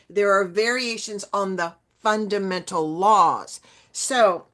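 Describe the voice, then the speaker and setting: Lower-pitched woman hosting a podcast, asks long loose questions with lots of like. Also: a bit bright. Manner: long rambling questions with "like" and restarts. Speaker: podcast host. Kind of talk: interview questions.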